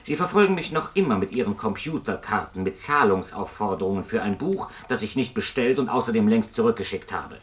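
An actor playing an aggrieved customer in a radio play, dictating complaint letters with formal, pointed politeness. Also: male voice, fairly low pitch, lively intonation, fairly quick, few pauses, fairly bright tone. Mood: irritated, indignant.

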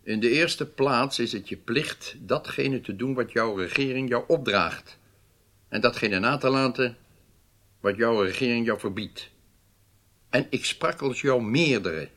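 A weighty statesman in a radio drama, a man rebuking a subordinate. His delivery is formal, measured and authoritative, in a medium-pitched voice. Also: fairly bright tone. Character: stern, weighty Israeli government leader rebuking his intelligence chief.

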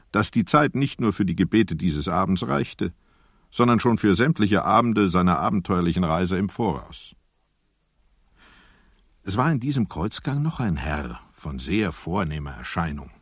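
A wry radio play storyteller. His scripted narration comes in a low, rather raspy voice, very dry and free of room sound. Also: animated, a little slow, no room sound, heavily acted.

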